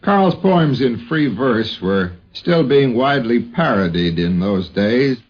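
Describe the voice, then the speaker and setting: A man, a newspaper columnist, reminiscing in deliberate, well-turned literary phrases. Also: medium pitch, expressive. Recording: dull 1950s radio recording.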